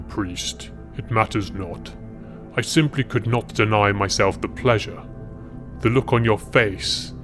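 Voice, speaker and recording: Man playing a low-voiced, smug, menacing wizard. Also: fairly bright tone. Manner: mocking, savouring his words. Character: smug, menacing aristocratic male wizard, mockingly amused.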